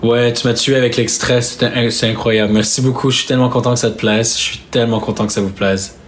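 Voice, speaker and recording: A young man from Quebec with a Québécois French accent, chatting casually on a live stream with fans. He speaks softly and hesitantly, with many fillers and restarts. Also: medium pitch, steady tone, fast, a little hiss or hum. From Quebec, Canada. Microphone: phone or webcam on a live stream.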